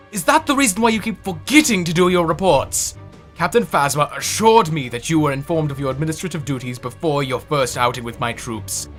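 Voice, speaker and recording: Guy playing a snooty irritable general, kinda high voice, swings all over, clipped n formal, sci-fi comic dub. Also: a bit bright. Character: haughty, irritable male military general in space opera. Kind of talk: dramatic voice acting in a comic dub.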